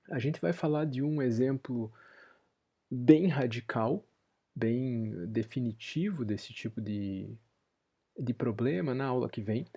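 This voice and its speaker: A male lecturer with a dark, mellow tone. His voice is a little husky, and he works through ideas aloud, restating and refining each point. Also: mid-range voice. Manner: thoughtful, rephrasing as he goes. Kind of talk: university lecture.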